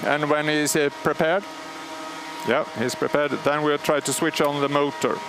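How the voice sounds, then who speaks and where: A man with a Swedish accent calmly explaining, step by step, how an old radio transmitter is started, pausing often with fillers. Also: fairly high pitch, noisy background. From Sweden. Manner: measured, explanatory, frequent pauses and fillers. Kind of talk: live technical commentary. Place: large machine hall at a radio station. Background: humming machinery and alarm bells.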